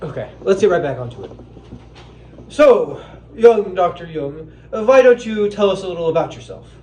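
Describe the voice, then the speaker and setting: A man playing a puzzled, fussy but genial psychoanalyst, speaking haltingly in an amateur comedy film. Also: high voice, lively intonation, a little mellow. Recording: echoey room with faint noise.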